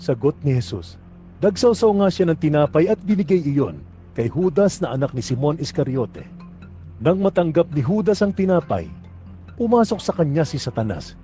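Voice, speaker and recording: Higher-pitched mellow male narrator. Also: sing-song, moderate pace, soft background music. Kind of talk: scripted scripture narration for audio drama.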